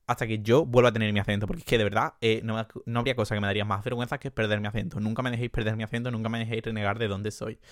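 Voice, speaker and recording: A young man with an Andalusian accent hosting a casual solo podcast from a bedroom, chatty and self-mocking, quick to laugh. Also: fairly low pitch, a bit bright, no echo, studio quality. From Andalusia, Spain. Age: young adult. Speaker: podcast host. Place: his sister's bedroom.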